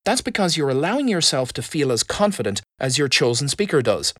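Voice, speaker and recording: A man giving a measured, expressive lesson on public speaking. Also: on the high side, no room sound, studio quality. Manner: expressive emphasis, measured delivery. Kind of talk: instructional talk.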